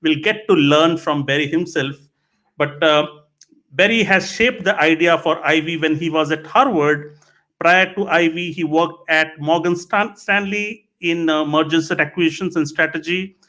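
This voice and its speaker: Higher-pitched man hosting a podcast. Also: normal speed, sharp tone. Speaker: podcast host. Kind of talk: live interview.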